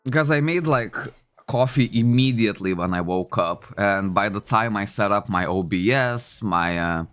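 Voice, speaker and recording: A man whose first language is Lithuanian talks in English in a slightly low voice, rambling along with plenty of ums and uhs. He sounds relaxed and conversational, speaking close to a desk microphone in a room at home. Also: normal speed, clean tone, soft background music. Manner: chatty, thinking aloud with many fillers. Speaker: game streamer. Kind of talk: casual livestream commentary.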